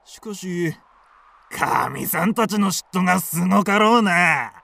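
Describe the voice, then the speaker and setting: An actor playing a crude, sneering bandit henchman in a radio drama, with rough, mocking speech. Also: sits high, expressive, slow, bright, forceful tone, full character voice. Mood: sly. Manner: rough, snickering, coarse speech.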